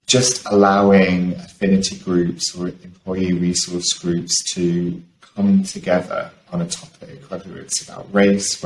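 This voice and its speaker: Man, panelist, low gravelly voice, roomy video call. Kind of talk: panel discussion, explanatory talk. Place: indoor room, video call. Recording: roomy video call, faint background noise.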